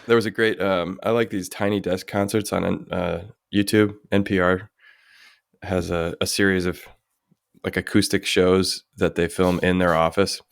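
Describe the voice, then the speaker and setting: A man with a very low, gravelly voice tells easygoing stories on a home podcast mic and drops dry quips. The sound is close and dry, with no room around it. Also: level tone, normal speed, no echo. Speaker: podcast co-host. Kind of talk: casual chat.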